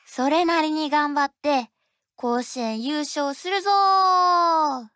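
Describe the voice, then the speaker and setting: High-pitched, easygoing schoolgirl. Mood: relaxed, playful. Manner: drawn-out playful sentence endings. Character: easygoing cheerful high school girl baseball ace. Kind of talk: game character voice lines. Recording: loud game music behind the voice. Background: game music.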